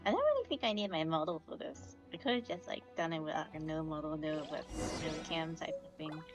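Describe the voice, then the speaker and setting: A woman's voice: low-pitched, big pitch swings, brisk. Rambling woman streamer over game music. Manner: rambling, trails off, self-correcting. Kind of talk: casual gameplay commentary. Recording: game music loud behind.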